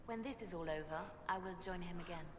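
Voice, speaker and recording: Solemn, intense spymaster played by a woman for a fantasy game; low voice, measured and guarded, echoing hall. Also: noisy background, lightly acted. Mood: wistful, devoted. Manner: measured, solemn, breaking into hushed speech. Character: grieving female spymaster, devout, intense and guarded. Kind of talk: video game voice acting.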